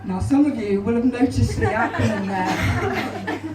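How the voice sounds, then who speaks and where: A young English woman with a high, croaky, hoarse voice delivers deadpan, self-deprecating stand-up. She is heard from far back in a small club, through its echoey house sound. Audience laughter rolls in between her lines. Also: measured pace, crowd noise. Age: 20s. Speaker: stand-up comedian. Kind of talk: stand-up comedy set. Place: small comedy club stage, live audience. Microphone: handheld stage mic, filmed from far back in the crowd.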